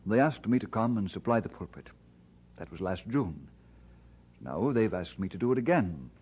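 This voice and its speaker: An actor playing an earnest, humble pastor, speaking politely and sincerely in an old-time radio drama style. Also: male voice, on the low side, expressive, normal speed, darkish tone, breathy edge. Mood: courteous, frank. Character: earnest, humble, courteous male pastor, newly married. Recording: noisy vintage radio transcription.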